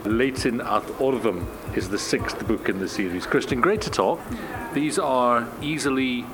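A man hosting a radio interview, asking measured questions that often end in tag questions. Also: medium pitch. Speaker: radio host. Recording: noticeable background noise.

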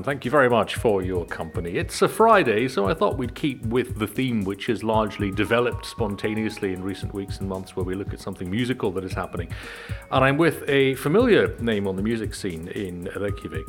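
A man hosting a radio interview, speaking as a presenter to a guest. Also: fairly low pitch. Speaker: radio host.

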